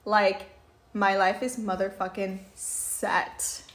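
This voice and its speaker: A woman in her twenties chatting casually as a podcast host, slangy and profane, a little tipsy and quick to laugh. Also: medium pitch. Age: 20s. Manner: profane, animated storytelling with frequent laughter and slangy banter. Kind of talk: casual chat.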